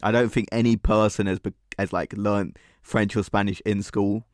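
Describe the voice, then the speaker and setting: British guy reacting to stuff, lowish voice, really dry close sound, chatty and rambles a bit. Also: little pitch movement, normal speed, no room sound. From United Kingdom. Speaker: reaction video commentator. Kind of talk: casual off-the-cuff commentary.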